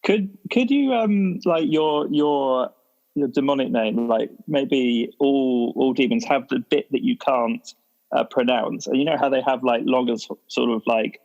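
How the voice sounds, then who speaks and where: A man's voice: mid-range voice, unhurried, a little mellow, muffled recording. A man in casual chat at a roleplaying game, suggesting things in a rambling, hesitant way. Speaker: tabletop roleplay participant.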